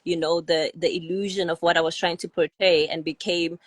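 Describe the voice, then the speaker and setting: A woman's voice: lowish voice, flat delivery, a little fast, no room sound. A young woman telling her own story as a podcast guest, heartfelt and earnest, with many "you know" and "like" fillers. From South Africa. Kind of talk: personal storytelling in a podcast interview.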